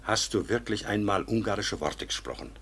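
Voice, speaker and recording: An actor playing a kindly older court official in a German radio drama, husky, patient and gently admonishing in a measured paternal way. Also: male voice, lowish voice, very animated, exaggerated voice. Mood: thoughtful.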